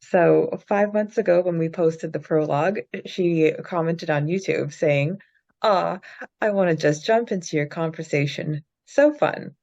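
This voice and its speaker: A woman's voice: sits low, measured pace, creaky, a little mellow. A woman hosting a casual podcast, informally leading the segments. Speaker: podcast host. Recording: slightly dull, lo-fi audio.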